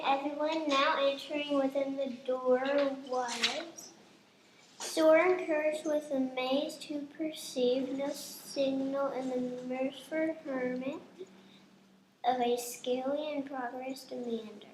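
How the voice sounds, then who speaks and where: Woman as nervous little kid in radio drama, really high voice, roomy sound. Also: fairly even intonation, takes it slowly. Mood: halting reading. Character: hesitant, anxious young child of the family. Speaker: actor in an amateur radio play. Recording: roomy, noisy recording.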